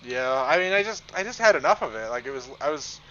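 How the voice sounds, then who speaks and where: Male streamer, Southern Ontario Canadian accent, bright tone, joking and rambling, slightly dull mic with faint hiss. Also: a little high, animated, fast. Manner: chatty, joking, trails off and restarts. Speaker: game streamer. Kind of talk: casual live-stream chat while playing.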